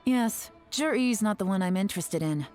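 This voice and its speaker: A woman's voice: mid-range voice, animated, normal speed, a little mellow, faint music behind, natural voice. A woman voicing a composed, calculating female spy in a video game, speaking in a wry, self-assured way. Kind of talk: game cutscene dialogue.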